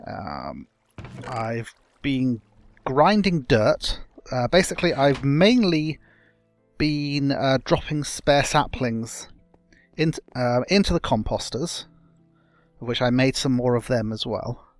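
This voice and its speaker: A young man hosting a casual gameplay video, chatting and rambling through his progress with a slightly croaky voice. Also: mid-range voice, expressive, slow, soft background music. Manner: rambling, self-correcting, chatty. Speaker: let's play host.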